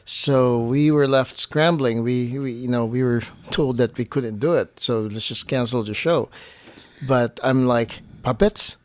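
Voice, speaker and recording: A man's voice: medium pitch, a little slow, no room sound. A man chatting casually as a guest, telling anecdotes informally and acting out the quotes. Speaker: guest on partner's family show. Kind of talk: casual chat, anecdotal storytelling.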